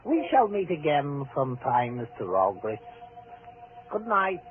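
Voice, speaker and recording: Calm, detached harbinger of death, fairly high voice with wide swings, vintage radio mystery. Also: male voice. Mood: cold, ominous. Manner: deliberate, cryptic, detached. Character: eerie, pale stranger foretelling death, calm and cold. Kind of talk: 1940s radio drama acting. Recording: tinny vintage radio recording, faint noise.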